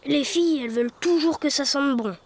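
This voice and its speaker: A woman's voice: high-pitched, fairly quick, a little mellow, natural voice. A woman voicing a stubborn little boy superhero with a childlike, protesting manner in a space cartoon. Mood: grumbling. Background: music.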